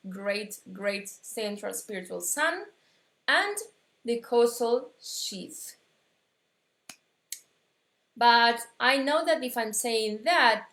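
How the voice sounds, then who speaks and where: Woman with an Argentine accent, second language, slow and halting spiritual teacher, slightly high voice, roomy echo. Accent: Argentine Spanish. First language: Spanish. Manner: halting, searching for words, many restarts. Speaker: metaphysics teacher. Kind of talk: live-streamed spiritual lesson.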